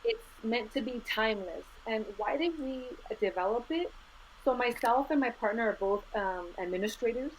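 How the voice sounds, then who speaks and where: A middle-aged woman from Los Angeles, a school administrator, speaking as an interview guest in an earnest, measured way, dropping in Spanish words. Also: on the high side, lively intonation. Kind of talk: conversational interview. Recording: slightly dull lo-fi audio with faint noise.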